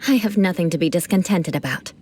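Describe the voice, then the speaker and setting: A woman's voice: on the low side, fast, a little mellow, slightly rough. An actress playing a proud young female knight of an aristocratic clan, who speaks in a formal, aristocratic manner. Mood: composed.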